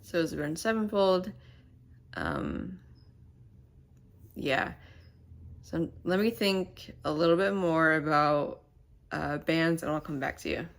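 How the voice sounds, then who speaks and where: A woman with a stuffy, nasal voice, chatting casually to the camera at home in a rambling, halting way. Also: low-pitched, very animated, gravelly. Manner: rambling and halting, many fillers. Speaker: channel host. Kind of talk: casual to-camera vlog chat. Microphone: camera mic.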